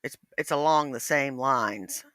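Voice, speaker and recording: A woman's voice: very deep voice, measured pace, fairly bright tone, no echo. A woman in her fifties, blunt, profane and mocking in casual livestream chat. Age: 50s.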